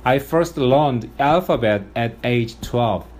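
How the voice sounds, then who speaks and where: Korean-accented pastor preaching earnestly. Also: male voice, mid-range voice, slow, a little mellow, slight background noise. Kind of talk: sermon.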